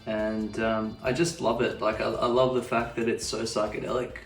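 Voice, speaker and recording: A man's voice: fairly low pitch, flat delivery, normal speed, soft background music. An Australian-accented man describing his favorite psychedelic toy in a thoughtful, hesitant way. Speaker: toy collector. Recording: echoey room, distant mic.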